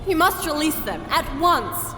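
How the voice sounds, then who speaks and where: An actress playing an earnest, somewhat timid young princess who speaks formally in a fantasy audio drama. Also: female voice, high-pitched, big pitch swings, a little slow. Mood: indignant resolve. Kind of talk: full-cast fantasy audio drama acting. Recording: echoey hall, music underneath.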